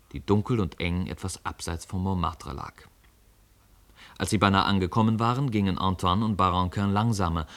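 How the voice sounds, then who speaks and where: Low-voiced male radio play narrator.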